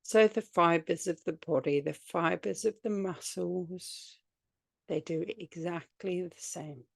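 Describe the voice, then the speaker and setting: A woman guiding a yoga nidra relaxation, speaking soothingly in short, unhurried phrases with long pauses between them. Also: on the low side, vocal fry, no echo. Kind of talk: guided meditation, spoken relaxation practice.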